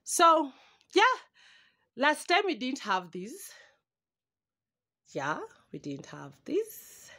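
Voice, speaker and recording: Woman in her 20s, Kenyan accent, slow pace, animated casual vlog chat, searching for words. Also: sits high. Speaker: vlogger. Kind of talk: casual house-tour vlog chat, mixing in Swahili. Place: small room at home.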